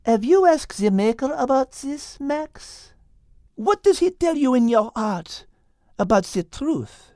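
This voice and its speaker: A man voicing a calm, wise female cat who reasons carefully and drops French words. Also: very high-pitched, takes it slowly, few pauses, dry recording, studio quality, pitched above the performer's own voice, full character voice. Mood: gentle.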